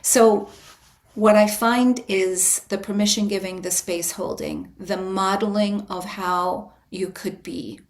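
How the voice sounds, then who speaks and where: A woman guest on a podcast interview, a writer and retreat leader, explaining her approach in a calm, invitational, measured way with frequent restarts. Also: mid-range voice. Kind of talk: reflective interview answer.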